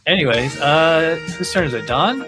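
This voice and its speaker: A man's voice: on the high side, expressive, moderate pace. A man hosting a podcast and chatting casually about music. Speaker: podcast host. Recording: music playing behind the voice. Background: clear music.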